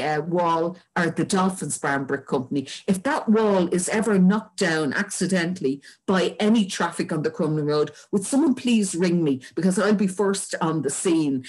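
Older irish lady doing a history talk on a video call, lowish husky voice. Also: moderate pace, hardly any pauses, darkish tone, rough recording. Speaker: local historian giving a talk. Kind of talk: informal illustrated history lecture. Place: indoors at home. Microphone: webcam video call.

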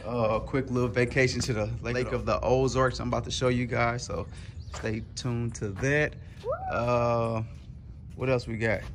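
Laid-back man vlogging, gravelly voice. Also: medium pitch, flat delivery, normal speed, background noise. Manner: loose, rambling, lots of fillers. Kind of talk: casual vlog talk to camera. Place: outdoors on a driveway and in a garage. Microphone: handheld camera with foam mic.

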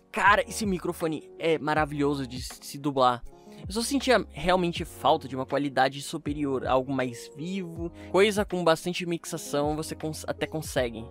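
Man, Brazilian accent, mid-range pitch, informal chatty delivery, casual YouTube review, music behind. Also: a bit bright. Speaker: YouTube creator and fan dubber. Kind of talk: casual talk-to-viewers gear review voice-over.

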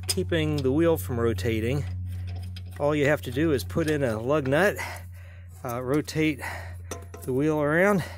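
A man narrating his own car repair in a casual how-to style, plain-spoken and wry, with many fillers and restarts. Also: on the high side. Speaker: home mechanic showing a car repair.

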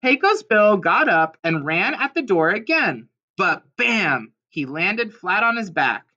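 A woman's voice: medium pitch, sing-song, takes it slowly, pushed and bright, muffled recording. A woman reading a children's storybook aloud expressively, with dramatic emphasis on key words. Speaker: storybook reader.

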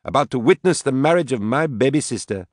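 A man acts out a drunken young woman from a novel, pitched up high and declaiming loudly. She is bitter and defiant, and every phrase drips with theatrical sarcasm. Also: normal speed, no echo, clean studio sound, natural voice. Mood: mocking flourish.

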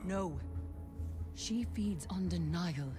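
Low, grave mystic seer. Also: female voice, animated, over music. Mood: grave, ominous. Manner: solemn, cryptic, slow and deliberate. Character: grave, fervent female mystic seer, haunted and defiant. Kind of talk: dramatic video game cutscene acting.

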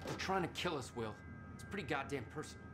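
A man's voice: medium pitch, lively intonation, quick pace, over music, close to an everyday voice. An actor playing a tense, defiant man on the run in a video game, speaking in urgent, blunt bursts. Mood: bitter. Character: Jack, tense, defiant younger brother on the run.

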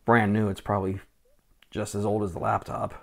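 Man in his forties, relaxed tech host, chatty with fillers, lapel mic, small indoor room. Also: fairly low pitch, fairly quick, gravelly. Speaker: vintage tech channel host. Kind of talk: casual hands-on tech walkthrough.

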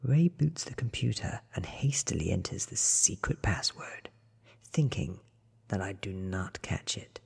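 A man in his thirties giving a soft, whispered ASMR reading of a story, breathy and deliberate, close to the microphone. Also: sits low, lively intonation. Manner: slow, hushed, deliberate. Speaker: ASMR book reader. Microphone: close microphone in front of him.

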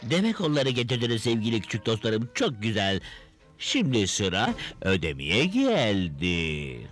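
Scheming wizard, wildly swooping voice. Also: male voice, fairly low pitch, huge pitch swings, unhurried, a bit bright, exaggerated voice. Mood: sly, gloating. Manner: oily, theatrical, mock-sweet. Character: scheming, greedy evil male wizard faking kindness. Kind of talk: animated cartoon dubbing. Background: background music.

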